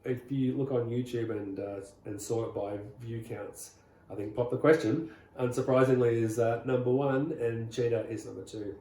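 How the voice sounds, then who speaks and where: Middle-aged Aussie male guest, rambling and relaxed storyteller, echoey room. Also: medium pitch, normal speed. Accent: Australian. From Australia. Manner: rambling, relaxed, many "uh"s and restarts. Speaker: rockabilly singer, guest. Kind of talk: casual interview storytelling.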